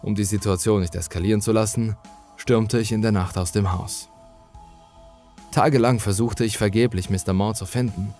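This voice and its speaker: A man's voice: lowish voice, darkish tone. A man reading a scripted first-person horror story as its narrator.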